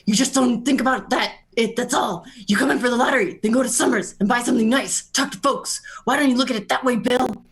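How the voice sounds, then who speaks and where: A woman plays a sensible farm wife in a radio play. Her voice sits a little high, and she speaks earnestly and plainly, chiding in a matter-of-fact way. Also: fast, hardly any pauses, lightly acted. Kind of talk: amateur radio play reading.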